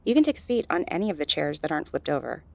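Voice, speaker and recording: A woman's voice: low-pitched, sing-song, rapid-fire, creaky, no echo, heavily acted. A woman playing a friendly, flirtatious gallery worker in an intimate audio roleplay, soothing and teasing as she talks straight to the listener. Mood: playful, flirtatious.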